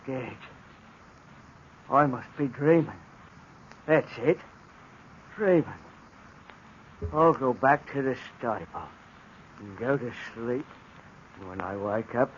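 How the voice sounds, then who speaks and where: An actor playing a simple-minded, confused young stable hand, talking in a slow, dazed, childlike way. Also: male voice, medium pitch. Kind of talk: 1940s radio acting. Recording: dull old radio recording with hiss.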